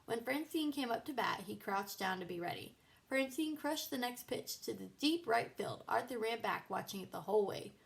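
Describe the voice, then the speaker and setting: A woman's voice: fairly high pitch, lively intonation, normal speed, creaky, poor audio. A woman narrating a children's book aloud as a storybook reader.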